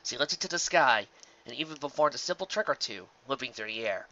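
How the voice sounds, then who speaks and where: A man reading a fan fiction story aloud as narrator, in an expressive, dramatic scripted style. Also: mid-range voice, fairly quick, dull audio.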